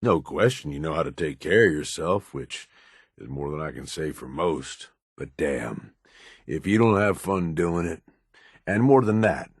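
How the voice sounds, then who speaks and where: Jaded middle-aged American raider for a game: deep, raspy, gruff and blunt, recorded close and dry. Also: male voice, no room sound, exaggerated voice. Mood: approving, sincere. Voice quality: husky, gravelly low voice. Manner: gruff, blunt, folksy tough talk. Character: jaded, foul-mouthed middle-aged male raider right-hand man. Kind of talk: video game companion dialogue.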